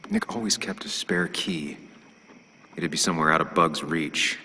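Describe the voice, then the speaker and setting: An actor playing a weary, sardonic journalist loner in a video game, with a wry, self-musing manner. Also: male voice, extremely low pitch, normal speed, pushed and bright, in a large hall, heavily acted. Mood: pensive, wry. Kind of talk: video game dramatic acting and inner monologue.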